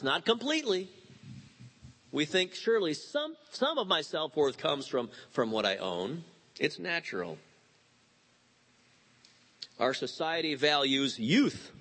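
A man in his fifties preaching a sermon, animated and telling stories with quoted voices. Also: a little high, husky. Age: 50s. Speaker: pastor.

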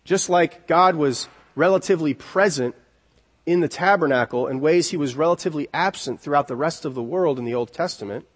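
A man preaching an expository sermon in an earnest, deliberate way, repeating key words for emphasis. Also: fairly high pitch, husky. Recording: long reverberant hall echo.

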